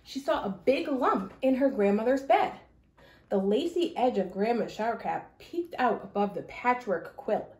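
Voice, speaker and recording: A woman with a fairly high voice reads a children's story aloud. Her narration is expressive, and the room adds a clear echo. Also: a little slow. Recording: echoey room.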